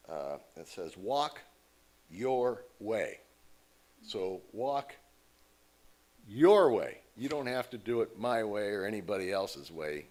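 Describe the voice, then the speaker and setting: A man's voice: mid-range voice, a little mellow. An American man speaking as a guest at a church service, telling stories in a conversational way. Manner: conversational, storytelling with quoted voices. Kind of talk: informal talk from the pulpit.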